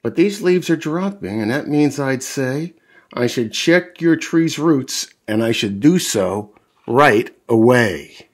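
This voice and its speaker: A man voicing an upbeat, helpful male tree doctor in brisk, cheerful rhyming patter. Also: medium pitch, lively intonation.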